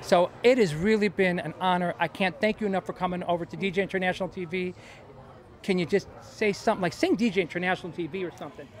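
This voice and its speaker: A man's voice: sits high, fast. A man hosting a talk show interview, effusive and enthusiastic with his praise. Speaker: host.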